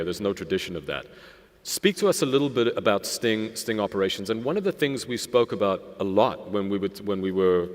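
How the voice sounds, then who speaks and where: A man who hosts an onstage interview and asks questions in a conversational, meandering way. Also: on the low side. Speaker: interviewer, host. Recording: long hall reverb.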